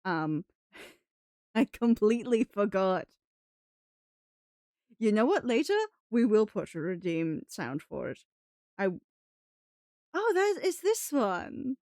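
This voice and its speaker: A woman's voice: mid-range voice, very animated, takes it slowly, long pauses, a little mellow, no room sound, clean studio sound. A woman from New England chatting casually on a livestream in a put-on British accent, rambling in a chatty, giggly way. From New England, United States. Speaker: live streamer. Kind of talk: casual livestream chat with viewers.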